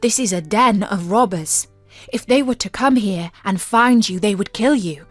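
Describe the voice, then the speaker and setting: A woman's voice: medium pitch, moderate pace, no room sound, faint music behind, close to an everyday voice. A woman voicing grave young enchanted brothers in a storybook, solemn and emphatic as they speak. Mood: urgent warning. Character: grave enchanted young brothers warning their little sister. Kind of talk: storybook character voice.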